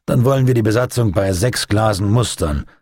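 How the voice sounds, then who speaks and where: A man's voice: fairly low pitch, brisk, a little mellow, no echo, close to an everyday voice. A man voicing a commanding, sardonic navy captain in an audiobook, with an authoritative manner. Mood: formal, commanding.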